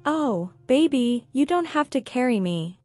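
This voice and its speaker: A woman voicing a gentle, reassuring young mother in a children's story. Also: high-pitched, lively intonation, unhurried, soft background music.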